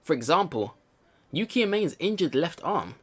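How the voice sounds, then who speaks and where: A man's voice: fairly high pitch, big pitch swings, moderate pace, a little hiss or hum. A man hosting a let's play, reading game narration aloud and reacting casually. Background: game music.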